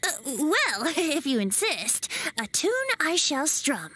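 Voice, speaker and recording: A woman's voice: high voice, sing-song, slow, sharp tone, slight background noise, full character voice. A woman voicing a playful young male bard in a video game, theatrical and poetic, with flowery phrasing. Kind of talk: English video game voice acting.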